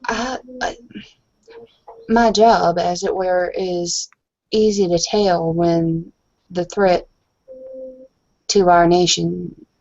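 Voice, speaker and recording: A woman roleplaying a tipsy, evasive, troubled young woman in a tabletop game, halting and apologetic. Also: fairly low pitch, fairly even intonation, a little mellow, no room sound, lo-fi sound, exaggerated voice. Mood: low, troubled.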